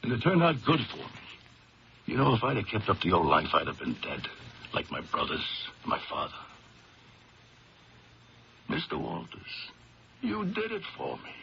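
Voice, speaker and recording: A rough-spoken, animated reformed ex-convict. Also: male voice, fairly low pitch, moderate pace, long pauses, full character voice. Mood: earnest, reflective. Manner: streetwise, ungrammatical, insistent. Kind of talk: 1970s radio drama acting. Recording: muffled, noisy 1970s radio broadcast.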